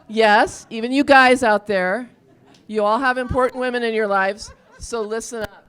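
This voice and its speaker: A woman's voice: on the high side, steady tone, moderate pace, a bit bright, clear, resonant tone. A woman hosting a live event from the stage, casually coaxing the crowd in a playful way. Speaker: event host. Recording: live event with audience noise. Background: faint crowd.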